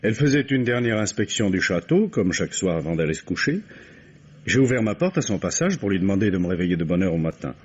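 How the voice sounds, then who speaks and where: An actor playing a middle-aged count and bereaved husband, hesitant, formal and courteous, in an old radio mystery. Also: male voice, sits low, very animated, fast talker, husky, full character voice. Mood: earnest recounting. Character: count, grieving husband, writer, polite suspect being questioned. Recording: dull vintage radio drama recording.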